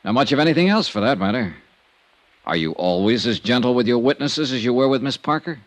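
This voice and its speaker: An actor playing a shrewd, wry insurance investigator in a 1950s radio serial, with an American accent and a brisk, clipped delivery on a vintage recording. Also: male voice, mid-range voice, lively intonation, close to an everyday voice. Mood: probing, wry. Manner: brisk, clipped, dryly sardonic. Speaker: lead actor in a detective serial. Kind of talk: 1950s radio detective acting, narration and dialogue.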